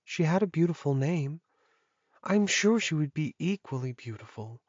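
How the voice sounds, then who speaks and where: An earnest young werewolf woman from a romance audiobook, played by a man, speaks in a lifted, soft voice. She sounds vulnerable and imploring, with careful hesitation, recorded close and dry. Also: on the high side, animated, moderate pace, dry recording, highs cut off, pitched above the performer's own voice. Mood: gentle.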